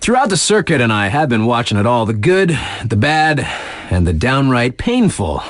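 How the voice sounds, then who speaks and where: An actor playing a hip, easygoing radio DJ in a video game, giving casual mountain event updates with wry, colloquial asides. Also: male voice, medium pitch, very animated, normal speed, no room sound, studio quality, lightly acted. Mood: hyped, casual. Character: laid-back hip radio DJ for a snowboard mountain.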